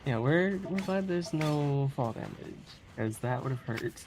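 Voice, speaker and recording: Speedrunner, medium pitch, unhurried and hesitant, casual live commentary, noisy stream recording. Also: lively intonation. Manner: hesitant, halting delivery with frequent giggles. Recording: clearly noisy stream audio.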